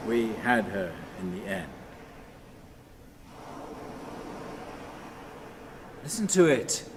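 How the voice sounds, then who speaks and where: Obsessive brooding husband in radio drama, really slow, pitch all over the place. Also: male voice, noisy background. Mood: wistful, weary. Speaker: radio play actor.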